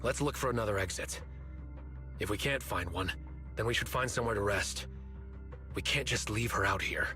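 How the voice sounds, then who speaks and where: A cool, level-headed man of a video game, fairly low with a bright, sharp edge. His delivery is matter-of-fact and composed. Faint music runs underneath. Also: moderate pace, long pauses. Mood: resolute. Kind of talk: English video game voice acting.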